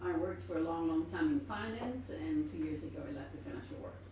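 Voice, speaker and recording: A woman's voice: low voice, lively intonation, fast talker, mellow, slight background noise. A woman born in Argentina and raised in Italy, asking questions in a seminar and checking agreement as she goes. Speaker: seminar participant. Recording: roomy, from far off.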